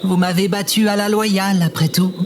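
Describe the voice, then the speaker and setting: Humble riddle-keeper for audio adventure, male actor: high register, formal, self-deprecating, noisy background. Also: flat delivery, moderate pace, close to an everyday voice. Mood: dejected. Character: humble, dejected male riddle-keeping door guardian. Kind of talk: French audio adventure drama.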